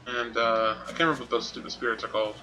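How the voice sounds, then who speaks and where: A man's voice: medium pitch, little pitch movement, very fast, sharp tone, rough recording. A man doing casual game commentary, musing playfully to himself and reading out what is on screen. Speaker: gaming streamer playing and commenting. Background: game music.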